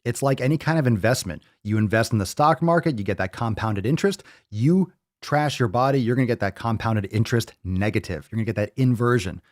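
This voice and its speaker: A man's voice: medium pitch, expressive, brisk, hardly any pauses, dry recording, studio quality. A man in his thirties hosting a fitness podcast, lecturing bluntly with plenty of swearing. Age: 30s. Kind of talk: ranting livestream talk.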